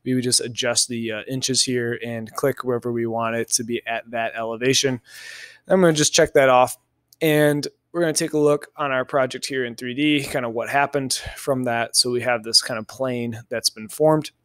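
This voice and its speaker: Male software instructor, mid-pitched, clean and dry sound, casual step-by-step screen narration. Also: steady tone, normal speed, clear, resonant tone, no echo. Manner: informal, thinking aloud step by step.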